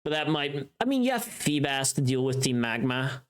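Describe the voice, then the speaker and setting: Casual livestream host, medium pitch, loose rambling chat, often muttering to self between tasks. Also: very animated, normal speed, clear, resonant tone. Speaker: livestreamer, voice actor.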